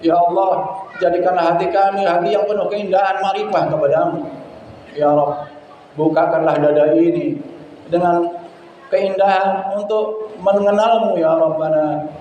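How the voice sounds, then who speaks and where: Guy preaching and pleading in prayer, mellow voice, big echoey hall, kinda muffled. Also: sits high, steady tone, measured pace, pauses often, slight background noise. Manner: fervent pleading supplication. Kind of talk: sermon closing with prayer. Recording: echoey hall, dull lo-fi sound.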